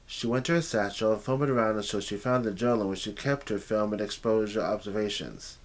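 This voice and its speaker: A man's voice: lowish voice, brisk. A man narrating a story as if for an audiobook, occasionally stumbling and repeating phrases as he reads.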